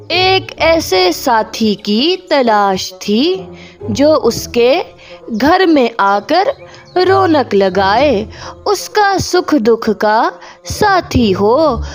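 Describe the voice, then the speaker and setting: A woman narrating a children's cartoon story in Hindi as a storyteller. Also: a little high.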